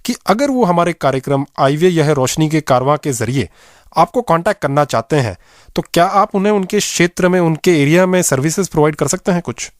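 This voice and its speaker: A man's voice: a little high, expressive, a little fast, dry recording, clean studio sound. A man with an Indian accent hosting a radio show, presenting and interviewing. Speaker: radio host.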